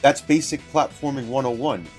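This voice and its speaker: A man's voice: mid-range voice, normal speed, darkish tone. A man reading a written video game review aloud as scripted narration. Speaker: guest reader of a written review. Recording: music behind the voice.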